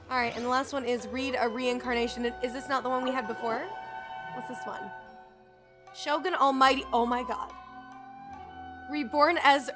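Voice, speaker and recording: A woman live-streaming a game, switching between casual commentary and reading text aloud. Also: high voice. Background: game music.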